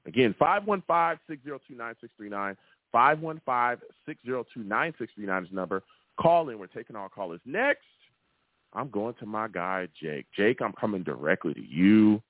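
A man's voice: lowish voice, lively intonation, normal speed, no room sound, phone-quality sound. A man hosting a live sports call-in show, talking emphatically and repeating phrases for effect. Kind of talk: animated sports talk with callers.

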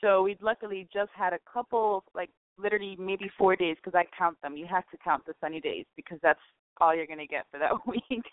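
A woman's voice: fairly low pitch, steady tone, brisk, no room sound. A woman hosting a radio interview show, chatting casually with lots of fillers and restarts. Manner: chatty, many fillers and restarts, laughs often. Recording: tinny phone-line sound, dry.

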